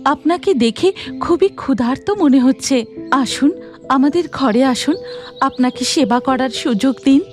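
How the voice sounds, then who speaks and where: A woman's voice: high-pitched, a little slow, a little mellow, faint music behind. A woman voicing a devout, hospitable village housewife in a cartoon folktale, eager and respectful. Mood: welcoming, eager.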